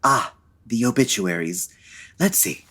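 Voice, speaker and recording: A man playing a suave, wry gentleman sleuth in an old-time radio comedy, urbane and dryly sarcastic. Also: medium pitch, big pitch swings, unhurried, husky, soft background music, heavily acted. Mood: amused, urbane.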